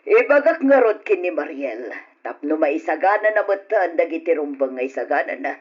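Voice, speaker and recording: A woman's voice: deep voice, big pitch swings, moderate pace, slightly rough, full character voice. A woman playing a cruel, greedy middle-aged aunt, a scornful and domineering villainess in an old radio drama. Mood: pleased. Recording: dull lo-fi old radio recording.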